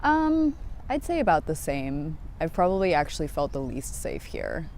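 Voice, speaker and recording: A man answering street interview questions in a calm, measured way. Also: on the high side, gravelly, a little hiss or hum.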